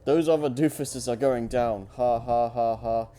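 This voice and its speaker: Man doing a loud gloating schemer. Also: mid-range voice, fairly even intonation, measured pace, natural voice. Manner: jeering, exaggerated villain laugh. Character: gloating male schemer mocking his rivals.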